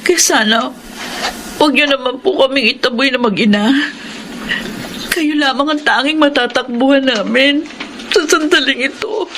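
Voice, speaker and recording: A woman's voice: high-pitched, moderate pace, long pauses, fairly bright tone, slight background noise. An actress playing a sorrowful young widowed mother in a radio drama, respectful and imploring. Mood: pleading.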